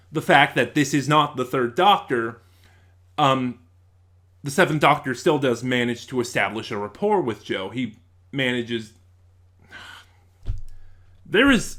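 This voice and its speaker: A man speaks at a middle pitch as a reviewer, casual and unscripted. His delivery is halting, as he reworks his phrasing and searches for the right wording. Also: a bit bright. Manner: halting, rephrases and searches for words. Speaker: YouTube reviewer.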